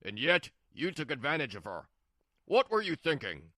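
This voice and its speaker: A woman's voice: low-pitched, sing-song, moderate pace, bright, forceful tone, no echo, studio quality, full character voice. A woman voicing a stern older father-in-law who scolds firmly in a manga story dub. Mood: stern, scolding. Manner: scolding, firm.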